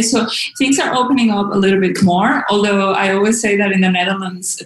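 A woman's voice: medium pitch, roomy sound, slight background noise, rough recording. A woman from Venezuela whose first language is Spanish, speaking as an interview guest over a video call, hesitant and full of ums and restarts. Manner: hesitant, many ums and restarts, laughs often. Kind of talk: casual remote interview.

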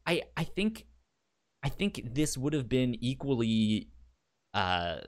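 A man's voice: medium pitch, big pitch swings, slow. Man thinking aloud, stammering. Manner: stammers and repeats words while thinking aloud. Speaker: podcast co-host. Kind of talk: casual book-club chat.

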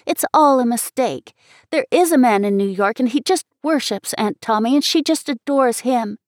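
An earnest little girl from a storybook, acted out by a woman reader. Her voice is high, and she speaks eagerly and emphatically, as if everything matters. The recording is dry and close. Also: fairly quick, darkish tone, no room sound, close to an everyday voice. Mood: urgent. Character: earnest, excitable little girl pleading and confessing.

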